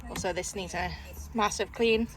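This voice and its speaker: A woman with a soft Liverpool accent tinged with Lancashire, vlogging in a chatty, rambling way, full of fillers and little laughs. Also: mid-range voice, steady tone, moderate pace, rough recording. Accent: soft Liverpool with Lancashire influence. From Liverpool, England. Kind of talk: casual vlog chat. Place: town street, then in a parked car.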